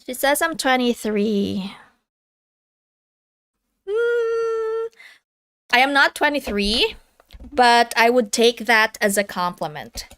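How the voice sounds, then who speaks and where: Bright, clear-voiced woman streamer. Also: fairly high pitch, very animated, slow pace, long pauses. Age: 30s. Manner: chatty, laughs often, reads chat aloud. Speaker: livestream host. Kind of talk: casual livestream chat.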